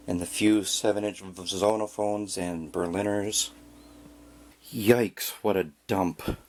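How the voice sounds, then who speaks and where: A man with a fairly low voice narrates a casual home tour. He presents as a collector showing things off, dropping wry, self-deprecating remarks as he goes. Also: slight background noise. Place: indoors at home.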